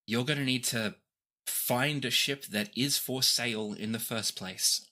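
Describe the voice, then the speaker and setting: A game master voicing a grouchy, blank-faced helmswoman in a tabletop game, speaking in a blunt, deadpan, clipped way. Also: medium pitch, unhurried.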